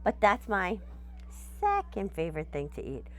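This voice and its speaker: A woman voicing a hungry, picky male chupacabra in a storybook, theatrical, drawn-out and mock-polite. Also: medium pitch, wildly swooping pitch. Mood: picky, teasing.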